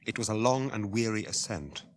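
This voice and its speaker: An actor playing a grave storyteller, narrating an epic fantasy radio drama in a solemn, measured, formal manner. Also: male voice, fairly low pitch, lively intonation, a little hiss or hum, close to an everyday voice. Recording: music under the narration.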